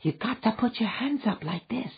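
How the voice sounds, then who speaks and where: A man voicing an innocent, thirsty little girl with a high childlike voice and a frontier dialect, in a storybook reading. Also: animated, normal speed, husky, dull audio, heavily acted. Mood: eager.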